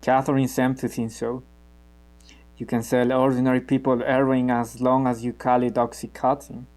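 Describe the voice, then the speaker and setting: A man playing a guarded, cynical revolutionary who explains himself in a measured way in a naturalistic radio play. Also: medium pitch, little pitch movement, darkish tone, close to an everyday voice. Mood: guarded, wry. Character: guarded, cynical male member of an armed revolutionary group.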